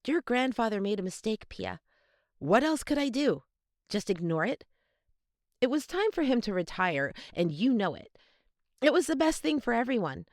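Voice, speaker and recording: A woman's voice: fairly high pitch, big pitch swings, normal speed, no echo, clean studio sound, pitched above the performer's own voice. A woman voicing an earnest, wistful teenage boy, defensive at times, in an audiobook story. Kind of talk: audiobook character dialogue.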